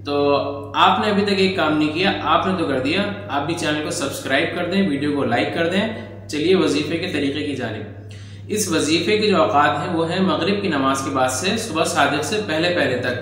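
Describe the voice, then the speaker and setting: A man's voice: on the high side, normal speed, a bit bright. A man giving earnest, instructive devotional guidance as a religious channel host, repeating points for emphasis. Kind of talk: devotional instruction to camera or audience. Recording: heavy echo with long hall reverb.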